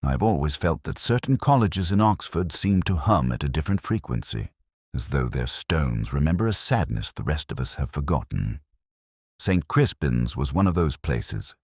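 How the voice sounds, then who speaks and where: A man playing a very deep-voiced, brooding detective. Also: big pitch swings, a little mellow, slightly rough, dry recording, clean studio sound, exaggerated voice. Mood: uneasy, reflective. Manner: measured, reflective, literary. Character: melancholy, cerebral, brooding male English police detective. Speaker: narrator. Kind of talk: first-person scripted mystery narration.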